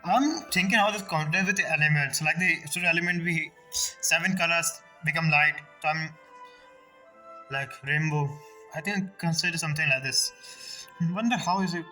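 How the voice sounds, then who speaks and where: A woman comments casually as she plays a game. Her voice is bright, her phrasing non-native and musing, with soft game music behind. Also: deep voice, normal speed, rough recording. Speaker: game streamer.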